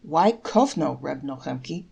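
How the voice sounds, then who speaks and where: A woman's voice: rumbling low, animated, unhurried, creaky, mellow, husky, exaggerated voice. A woman voicing a puzzled, respectful young village father in a storybook folktale, questioning with emphasis. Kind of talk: storybook character voice in a read-aloud folktale.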